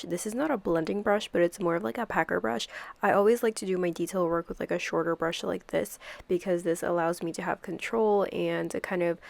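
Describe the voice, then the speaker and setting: A woman's voice: on the low side, vocal fry, no echo. A young woman with a stuffy, congested voice from a cold, chatting through a makeup tutorial voice-over and filling it with "like" and "kind of.". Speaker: makeup YouTuber.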